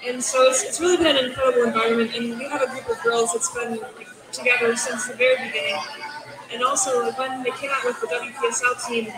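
A woman's voice: fairly high pitch, steady tone, fast talker, over a noisy crowd. A teenage girl soccer player from Georgia, speaking earnestly. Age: teens. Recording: distant mic in echoey room with noise.

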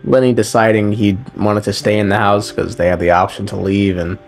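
A man's voice: fairly low pitch, level tone, fairly quick. A man giving a casual, sarcastic recap commentary of a game story, with profane, irreverent humor. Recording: voice over background music. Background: background music.

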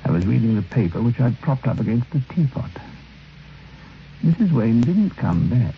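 Grave troubled guy telling his story, old radio play, creaky voice, muffled hissy recording. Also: medium pitch, very animated. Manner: literary, measured, grave. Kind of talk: old radio drama first-person narration.

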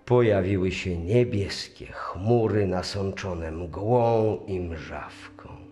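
A man's voice: sits low, takes it slowly, dark tone. A man narrating a legend as the scripted storyteller of a radio play. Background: music.

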